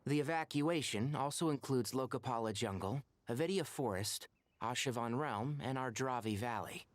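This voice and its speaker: An actor playing a composed young forest watcher and mentor in an anime-style video game, articulate and matter-of-fact. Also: male voice, medium pitch, normal speed, natural voice. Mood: serious, explanatory.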